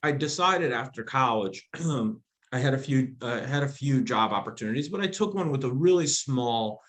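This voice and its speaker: An animated man guest in casual conversation, spinning a long personal story. He laughs easily and stumbles into quick restarts. His voice sits at an ordinary middle pitch. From Afghanistan, raised in the United States. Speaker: podcast guest, entrepreneur.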